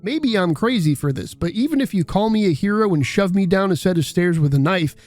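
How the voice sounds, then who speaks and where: A male video essayist with a slightly high voice narrates from a script. He sounds conversational and irreverent, swearing freely, over a soft music bed. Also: expressive, a little fast, dry recording.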